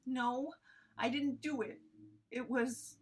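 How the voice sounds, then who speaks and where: A woman's voice: high-pitched, fairly even intonation, slow, husky, voice pushed up, exaggerated voice. A woman voicing a whiny, sarcastic immortal trainee in a comic sci-fi audio drama, rambling and protesting. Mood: panicked protest. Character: Napoleon, exasperated, whiny immortal trainee adventurer.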